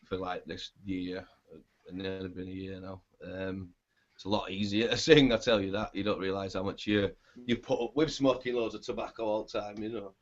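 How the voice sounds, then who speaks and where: A male band singer with a scratchy, raspy voice, chatting in a laid-back, rambling way in an interview and swearing casually. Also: low-pitched, little pitch movement, brisk. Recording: dull lo-fi sound.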